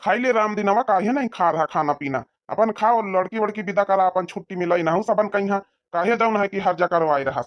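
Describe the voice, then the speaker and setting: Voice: mid-range voice, fairly quick, hardly any pauses, lightly acted. An actor voicing an exasperated village father of the groom in a comedy cartoon, scolding and rambling, on lo-fi audio. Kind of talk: amateur comedy cartoon dialogue.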